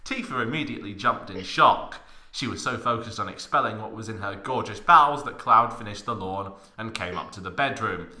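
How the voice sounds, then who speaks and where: A man's voice: lowish voice, brisk, pushed and bright, echoey room. A young man giving a theatrical, mock-dramatic reading of a story as a comic narrator, with exaggerated emphasis. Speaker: podcast narrator.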